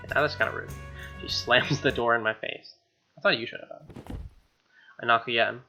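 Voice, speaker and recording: An American man reads visual novel narration aloud for a gaming video. His voice is crisp-edged and bright, at a middling pitch. He drops in casual reactions, and music plays behind him. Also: steady tone, moderate pace, muffled recording.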